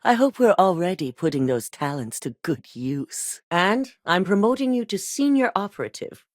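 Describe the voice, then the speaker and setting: Casting: poised corporate executive woman, low-ish voice with lively pitch, measured and formal, dry studio sound. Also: dry recording. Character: composed corporate executive woman, cool and commanding. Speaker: corporate executive giving assignments. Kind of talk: video game voice acting.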